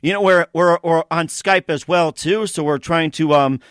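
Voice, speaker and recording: A male talk show host leads an interview in a rambling, halting way. His voice sits a little high for a man, and the sound is dry and close. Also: a bit bright, smooth, dry recording. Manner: rambling, filler-heavy. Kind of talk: radio interview.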